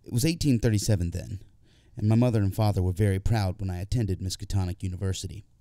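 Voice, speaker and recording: A man's voice: sits low, quick pace, dark tone, no room sound, clean studio sound. A man reading a scripted first-person literary story as an audiobook narrator.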